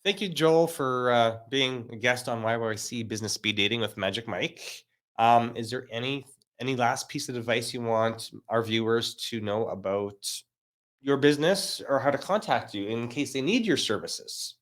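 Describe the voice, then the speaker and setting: A man's voice: medium pitch, fairly bright tone. Chatty Canadian podcast host man. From Manitoba, Canada. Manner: chatty, rambling, quick asides. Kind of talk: casual interview chat.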